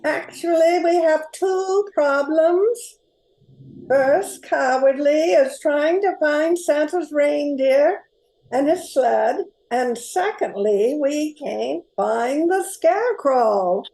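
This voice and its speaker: A woman's voice: high-pitched, animated, slow, dark tone, exaggerated voice, rough recording. A woman playing a polite, helpful storybook girl in an amateur radio play, with a reassuring manner. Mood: concerned.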